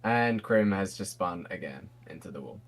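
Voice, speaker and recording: A man's voice: low voice, expressive, moderate pace. A young man from England giving casual online race commentary from his computer, chatty and laughing often.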